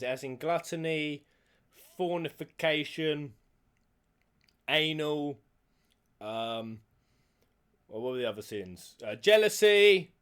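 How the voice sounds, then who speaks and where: A man's voice: on the high side, a bit bright. A man hosting a reaction video, chatting casually, joking and asking questions aloud.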